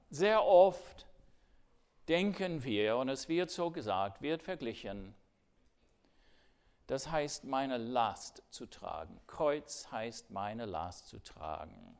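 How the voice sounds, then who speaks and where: An older man preaching a sermon earnestly, swinging between loud emphasis and hushed, quiet asides. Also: mid-range voice, gravelly.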